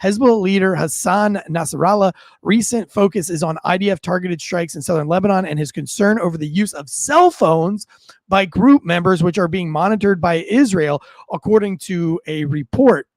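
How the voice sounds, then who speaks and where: A man's voice: high voice, normal speed, a little mellow, no echo. An American man doing informal breaking-news commentary, conversational, reading out reports and adding his own asides.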